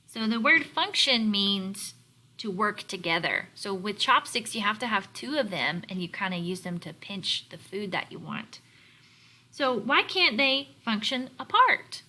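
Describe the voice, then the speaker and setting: A woman teacher leading a read-aloud lesson for young children, explaining words in a deliberate, instructional way. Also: medium pitch, very animated, sharp tone. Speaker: elementary teacher.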